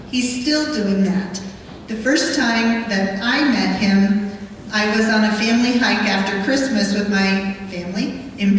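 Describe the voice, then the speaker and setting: A woman's voice: mid-range voice, a little hiss or hum. A woman introducing the evening's speaker at a club meeting, telling a story about him in a chatty way. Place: meeting room. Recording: echoey hall, distant mic.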